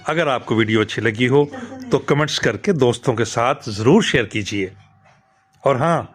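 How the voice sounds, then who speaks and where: A male channel presenter speaks directly to viewers. His delivery is a scripted video outro. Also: mid-range voice.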